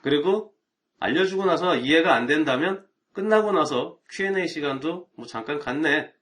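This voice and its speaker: A man's voice: a little high, animated, moderate pace, a bit bright. A middle-aged man, an IT networking instructor, talking casually and chattily to viewers on a live stream, with rhetorical asides.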